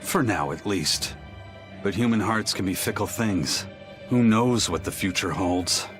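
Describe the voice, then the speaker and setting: A man's voice: lowish voice, measured pace, fairly bright tone, soft background music. A man playing a stoic, principled, philosophical warrior in a video game. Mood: solemn.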